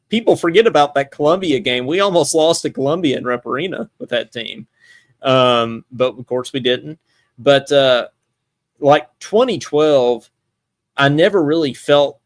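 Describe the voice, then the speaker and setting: A man's voice: mid-range voice, dry recording, clean studio sound. A man hosting a podcast, chatting casually about sports and thinking aloud in a rambling way. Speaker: podcast host.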